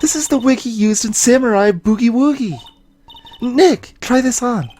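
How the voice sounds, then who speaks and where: A woman's voice: fairly high pitch, normal speed, warm tone, slight background noise, lightly acted. A woman voicing an eager, cheeky teenage girl sidekick, playful and curious, as a video game character.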